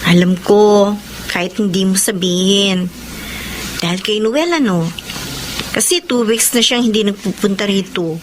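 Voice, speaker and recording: An actress playing a prodding, concerned friend in a Filipino radio drama, with a Filipino accent. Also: female voice, medium pitch, unhurried, long pauses, lightly acted. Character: lively, prodding female friend, concerned and teasing. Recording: faint noise and ambience behind.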